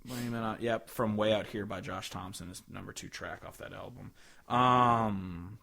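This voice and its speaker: Young guy podcast host, kinda low voice, casual country music talk, jokey and sweary. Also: steady tone, moderate pace, gravelly, pushed and bright. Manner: profane, joking.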